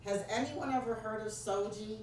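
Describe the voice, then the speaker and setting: A deep-voiced woman with a New York accent leads an interactive workshop and keeps calling on her audience. Her voice is picked up from well off the mic. It rings through a very reverberant meeting room over a faint background hiss. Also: normal speed, darkish tone. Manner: engaging, prompts the audience directly. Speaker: workshop presenter. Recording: very echoey room, distant mic, faint noise.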